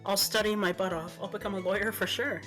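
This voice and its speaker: A woman's voice: mid-range voice, fairly even intonation, fast talker, fairly bright tone. A woman playfully reading game dialogue aloud among friends in a casual style. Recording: music behind the voice.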